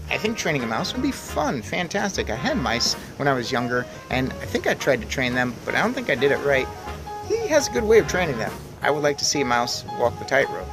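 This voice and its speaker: A man's voice: fairly high pitch, low-quality recording. A man reading aloud and chatting enthusiastically as host of a children's read-aloud. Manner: chatty and enthusiastic, putting questions to young listeners. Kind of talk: storybook read-aloud with casual commentary.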